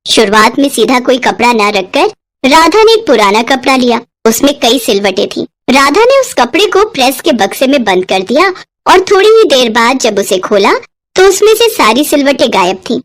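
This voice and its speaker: A woman's voice: high voice, very animated, normal speed. A woman narrating a scripted moral bedtime story as a storyteller.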